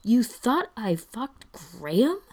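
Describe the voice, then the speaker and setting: A woman's voice: medium pitch, sing-song, slow, darkish tone, voice pushed up. A woman voicing a fond young man in a band with teasing mock outrage, in a story reading. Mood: amused outrage.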